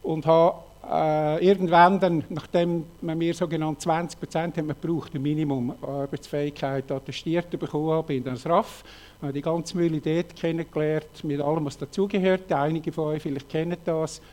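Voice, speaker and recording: A man in his late fifties with a Swiss German accent, frankly telling his own story in a talk, halting often with fillers. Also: on the high side, steady tone, darkish tone. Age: 50s. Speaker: speaker giving a personal testimony. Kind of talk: personal testimony talk with slides.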